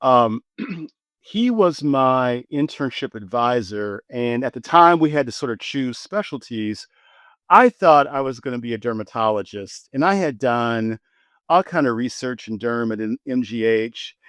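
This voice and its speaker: A man in his sixties, a physician guest in an interview, telling his story in an animated way and repeating words when excited. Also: mid-range voice, measured pace, no echo, clean studio sound. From United States. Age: 60s.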